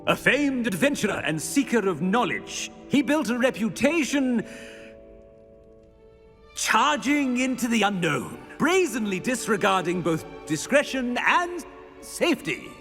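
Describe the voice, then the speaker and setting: An actor playing a pompous, awkward headmaster who fumbles and clears his throat in a video game cutscene. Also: high voice, sing-song, a little slow, fairly bright tone, full character voice. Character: pompous aging male headmaster, awkward and dismissive. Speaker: headmaster giving a eulogy. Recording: echoey hall reverb, faint music score.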